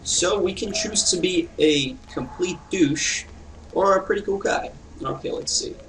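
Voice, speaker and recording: A man's voice: high voice, measured pace, noisy background. Casual male gamer, slightly distant mic. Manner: informal, reactive asides. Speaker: let's play gamer. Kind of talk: casual gameplay commentary. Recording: slightly distant mic, game music behind.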